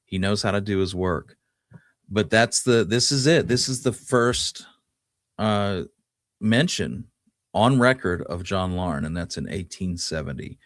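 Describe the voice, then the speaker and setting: A man's voice: deep voice, expressive, unhurried, no room sound, clean studio sound. A man co-hosting a history podcast, talking casually and musing his way through the details. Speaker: podcast co-host.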